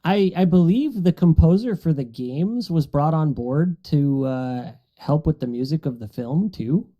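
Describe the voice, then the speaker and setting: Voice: medium pitch, lively intonation, a little slow, highs cut off. A podcast co-host talking casually in a group chat.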